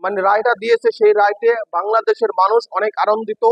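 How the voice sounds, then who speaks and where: A man's voice: high voice, sing-song, fast, gravelly, no room sound, muffled recording. A man from Bangladesh with a Bangladeshi accent making an impassioned, emphatic political statement as an expatriate. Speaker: expatriate giving his opinion.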